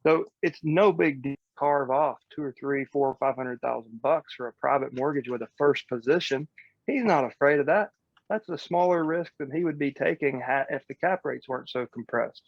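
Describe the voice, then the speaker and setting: A man's voice: medium pitch, moderate pace, vocal fry, no echo, highs cut off. A man giving casual, blunt coaching as a real estate podcast host, explaining step by step in informal, mildly profane language.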